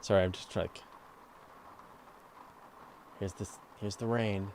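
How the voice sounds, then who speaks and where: A man's voice: on the low side, fairly even intonation, slow, a little mellow, slight background noise. A man hosting a casual live question-and-answer show, explaining step by step in a conversational way and stressing key words. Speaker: host of a live space and astronomy Q&A show.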